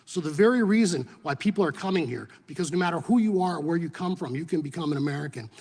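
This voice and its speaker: A man's voice: a little high, animated, fast, a little mellow, slightly rough. A man whose first language was Serbo-Croatian, giving emphatic formal testimony as a witness at a congressional hearing. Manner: emphatic, argumentative. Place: large hearing room. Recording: hearing room with long reverb.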